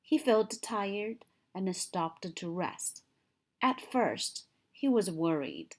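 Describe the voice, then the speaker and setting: A woman reading a picture book aloud to young children in an expressive storytelling style, with dramatic emphasis on key moments. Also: medium pitch, very slow.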